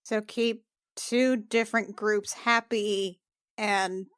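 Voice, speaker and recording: A woman's voice: medium pitch, fairly even intonation, slow, fairly bright tone, smooth, no echo, clean studio sound. A woman chatting casually as a player in a tabletop roleplaying podcast. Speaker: podcast cast member and player.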